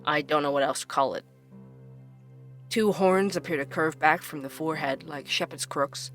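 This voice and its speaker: Guy acting curious mystery podcast host, measured scripted delivery w dramatic pauses, light music bed. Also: on the high side, steady tone, a bit bright, lightly acted. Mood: awed, intrigued. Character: inquisitive investigative podcast host, measured and intrigued. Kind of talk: scripted narration and interview.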